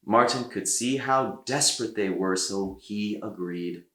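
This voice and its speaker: A man reading a children's story aloud as an expressive storyteller, with dramatic pauses. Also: lowish voice, reverberant. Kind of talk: animated children's read-aloud narration.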